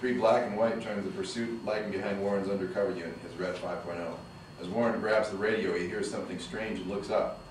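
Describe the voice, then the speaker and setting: A man's voice: sits low, steady tone, brisk. A man reading the stage directions at a screenplay table read, heard from a distance in an echoey, noisy room.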